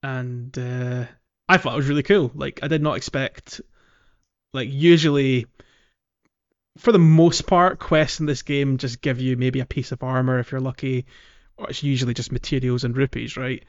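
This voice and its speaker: A man's voice: mid-range voice, fairly even intonation, moderate pace, dull audio. A man co-hosting a casual gaming podcast, explaining things in a hesitant way and checking for agreement. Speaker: podcast co-host.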